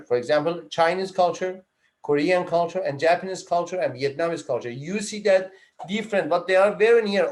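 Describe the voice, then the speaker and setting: A man giving an online psychology lecture, repeating and rephrasing his points and listing items one after another, with emphatic rises and drops in pitch. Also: a little mellow. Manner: repeats and rephrases phrases, lists items in runs. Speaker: psychology instructor.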